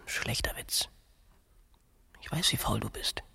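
A female actor playing an exasperated, reproachful bride in a radio play, husky and breathy, insistent and scolding. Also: takes it slowly, pushed and bright, in a whisper. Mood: reproachful hiss. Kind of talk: radio play dialogue, often hushed.

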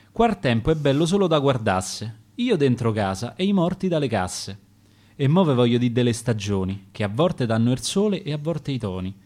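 A man's voice: mid-range voice, darkish tone. A middle-aged man with a Roman accent, reading his own rhymed dialect poetry in a rhythmic, declaiming way.

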